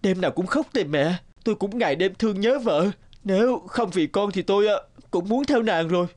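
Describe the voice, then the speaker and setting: A man's voice: sits high, lively intonation, brisk, darkish tone. A man voicing an earnest, emotional young village husband in a fairy-tale cartoon. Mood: pleading, longing. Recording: music under the voice.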